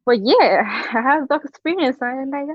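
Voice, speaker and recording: A woman's voice: high voice, expressive, moderate pace, dry recording, dull audio. A woman from Ecuador with a Latin American Spanish accent, a guest telling a personal story in a casual interview, with many restarts. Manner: storytelling, hesitant restarts, acts out quotes. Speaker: guest, para-rower.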